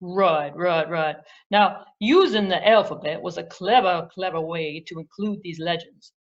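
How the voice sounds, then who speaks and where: A woman's voice: lowish voice, a little slow, lo-fi sound, pitched below the performer's own voice. A woman voicing a self-important, theatrical male puppet Broadway critic in a grandiose manner for a children's puppet show. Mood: pompous, admiring. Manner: grandiose, dramatic, with repeated phrases.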